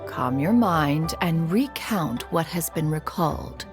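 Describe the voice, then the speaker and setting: A woman's voice: sits low, expressive, slow pace, music in the background. A woman voicing a dignified ancient crane spirit who speaks in an archaic way, calling herself "one". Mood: serene.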